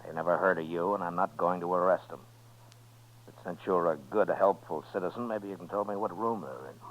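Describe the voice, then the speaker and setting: Calm, laconic US marshal, very low voice, American, vintage radio western, dull old recording. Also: male voice. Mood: firm, steady. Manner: laconic, measured, understated. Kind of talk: 1950s radio western acting and narration.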